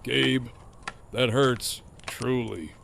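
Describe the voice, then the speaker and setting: An actor playing a mocking, foul-mouthed middle-aged antagonist with a sardonic, needling drawl in an audio drama. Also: male voice, medium pitch, extremely slow, creaky, a bit bright, heavily acted. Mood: taunting, amused.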